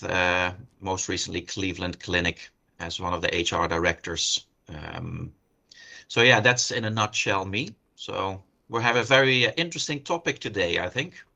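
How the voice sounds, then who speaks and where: A man in his fifties with a Dutch accent speaks as a professional guest on a webinar. His voice is fairly low yet forward and bright. The delivery is conversational. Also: vocal fry. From Netherlands. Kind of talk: conversational self-introduction.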